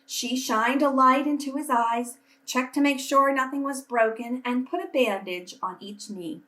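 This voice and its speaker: A woman reading a children's story aloud expressively, with deliberate phrasing meant for young listeners. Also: sits high, sharp tone. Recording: roomy echo, distant microphone.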